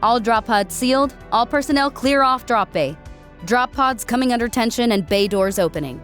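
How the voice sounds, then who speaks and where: A woman's voice: on the high side, normal speed, fairly bright tone, faint music behind, natural voice. A woman voicing a professional female military ship announcer with clipped, formal procedural calls. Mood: procedural, composed.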